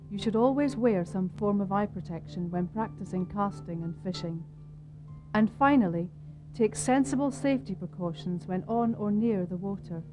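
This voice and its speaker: Music plays clearly behind a woman. She delivers scripted instructional narration, measured and formal, as a voice-over. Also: medium pitch, low-quality recording.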